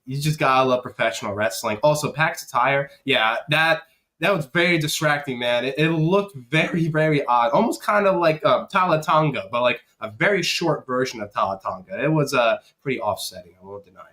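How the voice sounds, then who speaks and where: A man's voice: medium pitch, animated, brisk, sharp tone. A man on a podcast panel, chatting casually about sport in an agreeable, laid-back way.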